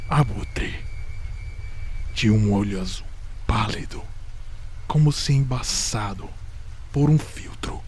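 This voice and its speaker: A man acting a slow, obsessive madman, whisper-prone. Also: medium pitch, a little hiss or hum. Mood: brooding. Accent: Brazilian. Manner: deliberate, intense, dropping into whispers. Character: nervous, obsessive madman confessing murder, insisting he is sane. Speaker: narrator of a horror audio drama. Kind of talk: dramatic gothic horror monologue.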